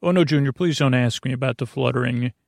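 A put-upon working frog dad from a bedtime story, played by a man, mild and creaky. He grumbles and meanders haltingly through his tale in a gravelly, mid-pitched voice, recorded dry. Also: dry recording, lightly acted. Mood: flustered, evasive.

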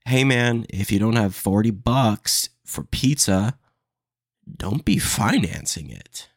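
Young male podcast host, low gravelly voice, stuffy nose, blunt casual rants. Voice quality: nasal from allergies. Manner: blunt, opinionated, says "dude" a lot. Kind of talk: casual comedy podcast rant.

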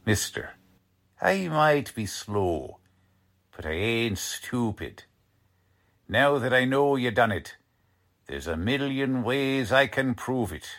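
A gruff, streetwise lieutenant from a 1940s radio crime drama, acted by a man. He drawls out tough-cop slang slowly and bluntly, with very animated intonation. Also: medium pitch, heavily acted. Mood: stern, sardonic.

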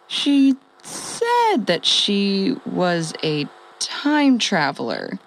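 Animated, wry woman survivor. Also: on the low side, full character voice, rough recording. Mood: incredulous, wry. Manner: wry, halting, emphatic, swears casually. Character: wry, foul-mouthed woman survivor, former radio host transmitting. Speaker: narrator of an audio drama. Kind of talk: conversational comedic monologue, as a ham radio log.

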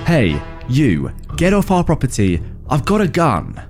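Man acting a stubborn, skeptical boyfriend, animated delivery. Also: mid-range voice, brisk, faint music behind, pitched above the performer's own voice. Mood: stubborn bravado. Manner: dismissive, insistent. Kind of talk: horror storytelling dialogue.

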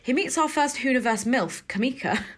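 A young woman in her twenties co-hosting a podcast, bubbly and animated, laughing often as she chats and reads a recap. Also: sits high, normal speed. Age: 20s. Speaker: podcast co-host. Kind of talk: casual chat and scripted recap.

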